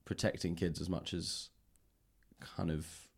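Low-voiced man hosting a casual interview. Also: flat delivery, unhurried, creaky, darkish tone, slightly rough. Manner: thoughtful, hedging, roundabout. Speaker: interviewer, podcast host. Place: library room.

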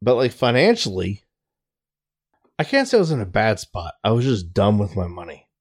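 A man's voice: lowish voice, moderate pace, husky. A man in his late thirties chatting casually and swearing freely. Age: 30s. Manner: casual, profane, animated chat. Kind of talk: casual podcast chat.

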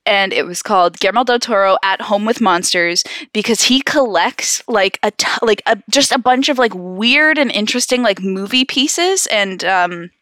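A woman's voice: medium pitch, normal speed, few pauses, fairly bright tone, no room sound, studio quality. A woman chatting casually as a podcast co-host, enthusiastic, giggly and slangy. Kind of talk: casual chat.

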